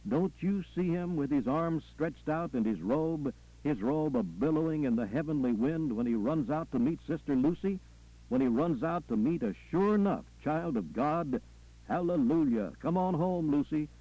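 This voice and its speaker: A man's voice: fairly high pitch, expressive, moderate pace, lo-fi sound, pitched above the performer's own voice. A man performing a fervent preacher delivering a rhythmic, folksy call-and-response funeral sermon full of repetition. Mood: exultant, urgent. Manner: folksy, rhythmic repetition with punctuating "aha" and "ah, well".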